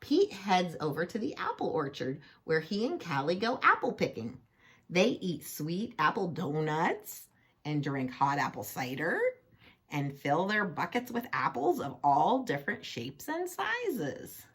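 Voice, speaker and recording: Woman, children's storyteller, slightly low pitch, husky, very lively intonation, playful, chatty asides. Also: measured pace. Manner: playful, expressive, asks the listener questions. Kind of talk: children's picture-book read-aloud with chatty asides.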